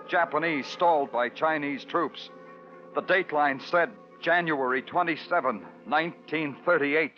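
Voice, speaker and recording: An actor playing a world-weary, hard-boiled police detective, terse and sardonic, narrating a 1940s radio noir drama. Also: male voice, medium pitch, lightly acted. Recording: dull vintage radio recording. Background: dramatic music.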